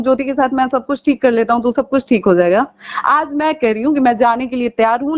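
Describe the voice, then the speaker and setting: A woman with an eastern Hindi-belt accent argues and pleads insistently on a recorded phone call, repeating her questions. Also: sits high. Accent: eastern Hindi belt, Bhojpuri region. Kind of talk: emotional phone conversation, arguing and pleading.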